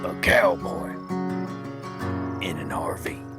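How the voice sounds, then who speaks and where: A man's voice: rumbling low, fairly even intonation, slow. A man narrating a western tall tale in a folksy, theatrical storytelling style. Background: guitar music.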